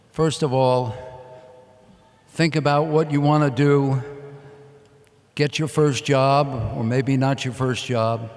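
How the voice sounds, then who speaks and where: A man's voice: medium pitch, a little mellow. A man giving a motivational commencement speech in emphatic short, repeated phrases. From Queens, New York, United States. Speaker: commencement speaker. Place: large indoor arena at a commencement. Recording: echoey large arena, long reverb.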